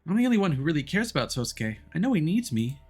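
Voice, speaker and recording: Man voicing a high-pitched, earnest young boy. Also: big pitch swings, quick pace, a little mellow, husky, soft background music. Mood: pleading, earnest. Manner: urgent, insistent. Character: earnest, pleading young boy worried for his missing friend. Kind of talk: visual novel character voicing.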